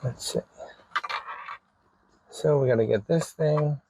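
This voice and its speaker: A man's voice: a little high, takes it slowly, mellow, breathy edge, low-quality recording. A man narrating a hands-on DIY vlog in an informal way, thinking aloud as he works. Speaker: video creator showing a DIY radio install.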